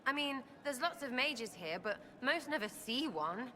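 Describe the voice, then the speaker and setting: A woman's voice: high-pitched, animated, measured pace, pushed and bright, big-room sound, a little hiss or hum, heavily acted. An actress playing a blunt, wary, irreverent young woman in a video game, with choppy, slangy phrasing. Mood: earnest, wary. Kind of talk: video game dialogue acting.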